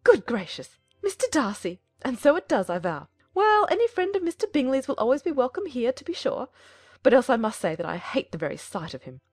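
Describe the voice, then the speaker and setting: A woman's voice: high-pitched, big pitch swings, fairly quick, darkish tone, no echo, studio quality, faint music behind, voice pushed up, heavily acted. A woman voicing a fussy, indignant middle-aged mother in a dramatized audiobook, emphatic and fretful. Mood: fussy, excitable.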